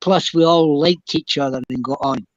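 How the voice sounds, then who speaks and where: An older Scottish man tells stories from his playing days in a fairly high voice. He rambles and halts as he goes, and the sound is dry and a bit dull, with no room around it. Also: dry recording. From Scotland. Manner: rambling, halting storyteller. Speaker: guest, former footballer. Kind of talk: casual chat, reminiscing.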